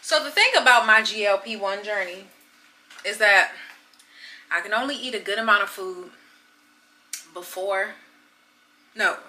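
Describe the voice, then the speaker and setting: A candid woman in her thirties talks to her viewers in a relaxed vlog, laughing easily as she goes. Her voice is strikingly bright and sits at an ordinary pitch. A faint hiss runs under the recording. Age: 30s. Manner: chatty, candid, laughs often. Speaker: vlogger. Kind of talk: casual vlog chat.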